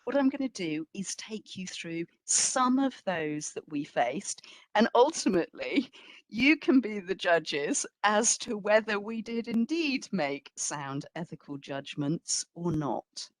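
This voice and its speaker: Female museum lecturer, unhurried and emphatic, slightly low register, dry close sound, conversational delivery. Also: expressive, no echo, low-quality recording. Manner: deliberate, emphatic phrasing with asides. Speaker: museum curator giving a talk. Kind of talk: public history lecture, conversational.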